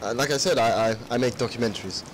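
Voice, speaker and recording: A man's voice: mid-range voice, quick pace, a little hiss or hum, lightly acted. A man playing a mild, polite documentary filmmaker in a game roleplay, hesitant and stammering. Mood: polite, friendly. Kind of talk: improvised video game roleplay.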